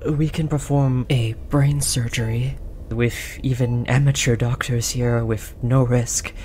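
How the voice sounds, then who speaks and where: A performer voicing a gentle, apologetic alien researcher, speaking softly and hesitantly in an earnest, reassuring roleplay style. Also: mid-range voice, fairly even intonation, unhurried, natural voice. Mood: flustered, apologetic. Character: tall, apologetic, gentle alien researcher soothing an abducted captive. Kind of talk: roleplay audio drama, soft comforting delivery. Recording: audible background noise, monitor beeping.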